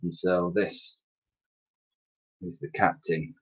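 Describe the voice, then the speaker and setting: Man, thirties, fairly low pitch, expressive children's read-aloud, somewhat muffled lo-fi recording. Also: slow, breathy edge. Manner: expressive, explains as he goes. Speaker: teacher reading aloud to pupils. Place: small attic room with sloped ceiling, indoors. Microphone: webcam or laptop mic at arm's length. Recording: dull, lo-fi webcam sound.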